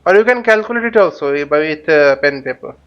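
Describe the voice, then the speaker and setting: A man hosting a flight simulator tutorial, thinking aloud in short, halting phrases as he casually walks through what he is doing. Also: a little high, animated, clear, resonant tone. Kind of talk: casual spoken walkthrough while flying a simulator. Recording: dull, lo-fi microphone sound.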